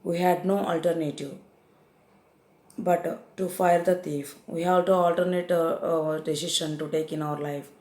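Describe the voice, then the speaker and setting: A woman's voice: on the low side, steady tone, normal speed, warm tone, echoey room. A woman with an Indian English accent teaching confusable English words, repeating each definition and often hesitating with fillers. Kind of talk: vocabulary lesson.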